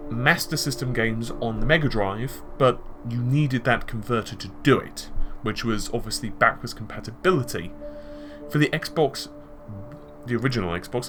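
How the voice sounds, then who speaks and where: A man's voice: medium pitch, a bit bright. A man giving conversational tech and gaming commentary, explaining his points with examples and asides. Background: background music.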